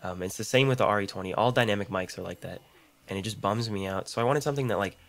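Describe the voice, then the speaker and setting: A young man with a deep voice and a slightly rough throat, chatting casually through gameplay commentary into a condenser mic, rambling and self-deprecating, with audible mouth clicks. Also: fast. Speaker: gaming YouTuber. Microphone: large condenser studio mic.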